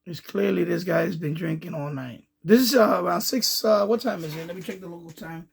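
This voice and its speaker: Male hobby-radio host, high and husky voice, casual explanatory commentary that circles back and repeats itself. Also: brisk. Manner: rambling, repeats himself, explanatory. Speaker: amateur radio video host. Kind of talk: casual running commentary over radio chatter.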